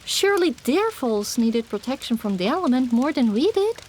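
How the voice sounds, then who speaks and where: A woman narrating a first-person fantasy audiobook in an expressive, dramatic storytelling manner. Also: high-pitched, normal speed, slight background noise.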